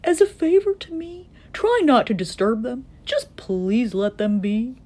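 A gentle, protective male elephant from a children's storybook, played by a woman. The voice is earnest and emphatic, often lifting high with wide swings of pitch. He pleads sincerely for those smaller than himself. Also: big pitch swings, a little slow. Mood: earnest pleading.

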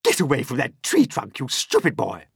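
A man voicing a bossy, mean, excitable aunt in a storybook, shrieking and snappish. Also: mid-range voice, normal speed, vocal fry, husky, dry recording, clean studio sound, pitched above the performer's own voice, exaggerated voice. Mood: snapping. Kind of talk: audiobook character voice.

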